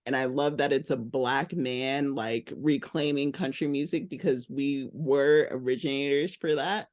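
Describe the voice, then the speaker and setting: A man's voice: mid-range voice, fairly even intonation, a little slow, no echo. A man from Texas, interviewing a guest in a casual, enthusiastic way and saying "like" a lot. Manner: enthusiastic, filler-heavy with "like".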